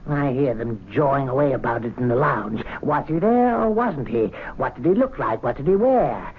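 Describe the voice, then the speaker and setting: An actor playing a sly, resentful older club servant in an old radio drama, grumbling with scornful glee. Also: male voice, medium pitch, lively intonation, moderate pace, breathy edge. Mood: bitter, scornful. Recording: thin, narrow-band radio drama recording.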